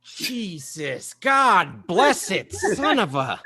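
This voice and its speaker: A man's voice: sits high, very animated, drawn-out words, pushed and bright, husky, heavily acted. A man playing a wry, exasperated middle-aged dad, dry and sarcastic, in a comedy table read. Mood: delighted, then exasperated.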